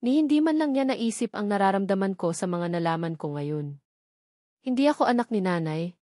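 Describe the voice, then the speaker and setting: A woman narrates a novel in the first person. Her voice has a middle pitch, and the sound is dry, with very little room in it. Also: lively intonation, brisk, dark tone, no room sound. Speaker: audiobook narrator.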